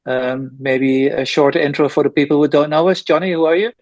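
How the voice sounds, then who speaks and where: A man hosting a podcast, welcoming guests in a friendly, slightly halting way. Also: fairly high pitch, steady tone, a little mellow, dry recording. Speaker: podcast host.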